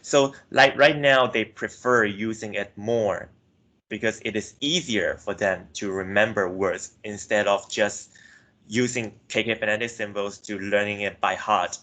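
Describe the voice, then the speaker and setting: Low-voiced young man giving a hesitant presentation. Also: fairly even intonation, unhurried, sharp tone, poor audio. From Myanmar. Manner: hesitant, frequent "like" and self-corrections. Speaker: student presenter. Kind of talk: academic class presentation.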